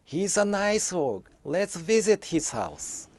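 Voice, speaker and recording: A man's voice: high voice, very animated, slow, darkish tone, pitched above the performer's own voice, full character voice. A man doing gushing villagers. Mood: admiring. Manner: gushing, admiring. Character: excited admiring village crowd.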